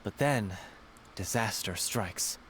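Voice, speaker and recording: A man playing an excitable, earnest playwright who tells stories theatrically in video game dialogue. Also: lowish voice, slow, noisy background. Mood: earnest storytelling. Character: excitable young male playwright, earnest storyteller.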